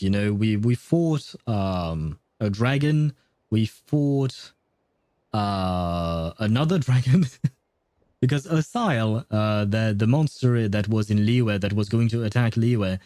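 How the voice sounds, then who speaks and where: A man's voice: mid-range voice, mellow, no room sound. A man streaming a story game, chatting casually in a rambling, jokey way and laughing at himself. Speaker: gaming streamer. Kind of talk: casual live game commentary.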